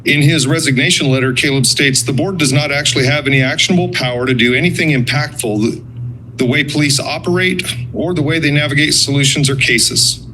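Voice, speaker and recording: A man's voice: a little high, fairly quick, bright, forceful tone, slight background noise, rough recording. A blunt, sarcastic man giving confrontational public comment at a meeting, swearing and accusing.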